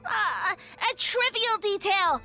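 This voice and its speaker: Haughty, high-pitched little male mushroom creature. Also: big pitch swings, slow, bright, forceful tone, heavily acted. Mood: huffy. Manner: pompous, taunting, theatrical. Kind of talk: animated video game voice acting. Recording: game music behind the voice.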